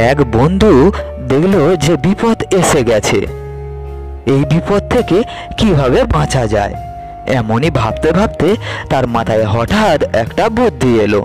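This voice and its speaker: Male children's story narrator over music. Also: mid-range voice. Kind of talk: scripted children's fairy tale narration.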